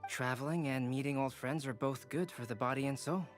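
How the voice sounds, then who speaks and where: A man playing a calm, thoughtful young intellectual in a video game, composed, refined and courteous. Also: mid-range voice, a little fast, soft background music, close to an everyday voice. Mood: reflective. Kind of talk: scripted video game dialogue.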